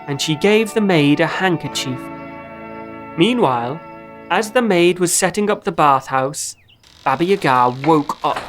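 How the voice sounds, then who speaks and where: Woman, children's storyteller, scripted narration, slow pace, animated intonation, lowish pitch. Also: music in the background.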